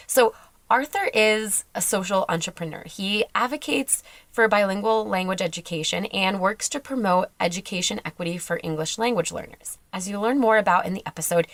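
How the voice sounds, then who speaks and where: A woman's voice: medium pitch, no room sound. A woman hosting a podcast, reading a playful scripted intro and then interviewing a guest in an upbeat, emphatic way. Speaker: podcast host.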